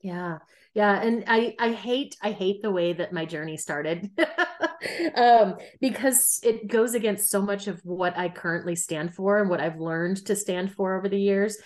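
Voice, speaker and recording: Woman in her thirties, candid guest, conversational storytelling, middle pitch. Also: unhurried. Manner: candid, frank, laughs easily. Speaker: podcast guest, runner.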